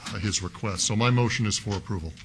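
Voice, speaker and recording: A man's voice: fairly low pitch, fast, fairly bright tone, a little hiss or hum. A man on a city council, questioning an applicant at a public hearing in a folksy, methodical way, speaking into a desk microphone. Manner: folksy, methodical, thinks aloud with fillers. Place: council chambers.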